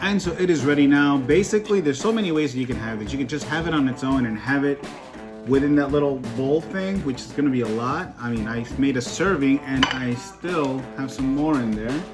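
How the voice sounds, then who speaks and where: A man's voice: mid-range voice, brisk, music in the background. A man from Peru hosting a cooking vlog, talking through a recipe in an upbeat, chatty way with small jokes at his own expense. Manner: upbeat, chatty, self-joking. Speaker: cooking vlogger. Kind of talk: casual cooking tutorial.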